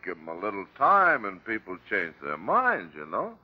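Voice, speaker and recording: A man's voice: fairly low pitch, wildly swooping pitch, normal speed. Swooping, slangy tough-guy suspect. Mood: defensive, resentful. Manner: slangy, offhand. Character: large, resentful, streetwise male suspect. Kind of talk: old-time radio drama acting. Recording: dull, lo-fi old radio recording.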